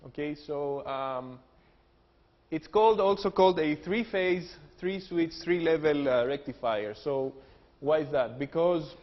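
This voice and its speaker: A man with a Greek accent walks through an engineering lecture, joking early on and explaining step by step with plenty of fillers. His voice sits somewhat high. The recording is dull and lo-fi, with the long reverb of a lecture hall. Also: unhurried. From Greece. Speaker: engineering professor. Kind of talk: technical lecture with jokes.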